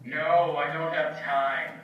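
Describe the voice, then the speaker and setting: A man's voice: on the high side, steady tone, takes it slowly. A man playing a boastful, self-absorbed genius inventor in a children's puppet show, theatrical and self-assured. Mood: irritated. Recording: echoey room, distant mic, dull, some noise.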